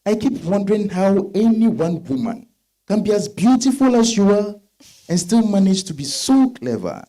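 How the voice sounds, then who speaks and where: A man with a West African accent playing a married suitor, smooth and insistent, in a dramatized stage reading. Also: high-pitched, very animated, moderate pace, dark tone, rough recording. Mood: flirtatious. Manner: persistent, questioning.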